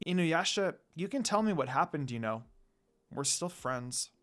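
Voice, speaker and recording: A man voicing a gentle but outspoken young woman in a story reading, with a soft, earnest manner. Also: fairly high pitch, expressive, normal speed.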